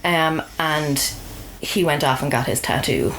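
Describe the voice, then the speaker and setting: Lower-voiced Irish woman telling a story. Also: expressive, a little slow, noisy background. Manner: wry, understated, anecdotal. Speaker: podcast guest telling a personal story. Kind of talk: casual conversational storytelling.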